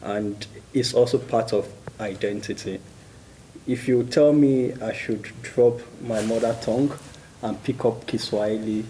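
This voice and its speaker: A man's voice: fairly low pitch, warm tone, a little hiss or hum, low-quality recording. A man with a Nigerian accent, arguing firmly as a panelist in a discussion. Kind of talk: firm debate argument.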